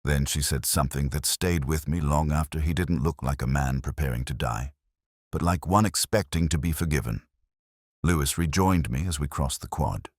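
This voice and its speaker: A man narrating a detective story in the first person as a brooding, cerebral investigator, in a measured, literary, melancholy manner. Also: rumbling low, no echo, clean studio sound. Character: brooding, cerebral male police detective narrating his case. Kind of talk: first-person scripted narration, slow-burning mystery.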